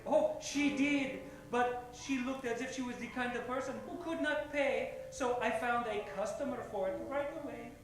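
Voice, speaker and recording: A man's voice: extremely high pitch, moderate pace, few pauses. A man playing a slippery, smugly polite pawnbroker in an old-time radio drama, with affected, formal phrasing. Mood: smugly evasive. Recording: roomy sound, faint music behind.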